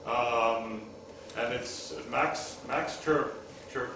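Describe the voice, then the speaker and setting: A man's voice: medium pitch, gravelly. Guy introducing a speaker, lots of uhs, far from the mic in a big echoey room. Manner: halting, many fillers. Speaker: event presenter. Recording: echoey hall, distant mic, noisy.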